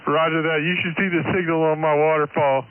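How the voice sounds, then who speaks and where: A high-pitched male voice comes over two-way radio. The sound is narrow and tinny with a faint hiss, and he keeps to short, casual transmissions. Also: flat delivery, brisk. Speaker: amateur radio caller. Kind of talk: brief casual radio chat.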